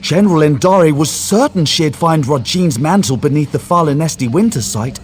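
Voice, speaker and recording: A male actor playing a skeptical, scholarly guide in a fantasy game, explaining in a measured, wry way. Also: fairly high pitch, lively intonation, noisy background, close to an everyday voice. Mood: matter-of-fact, wry. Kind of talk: video game quest dialogue.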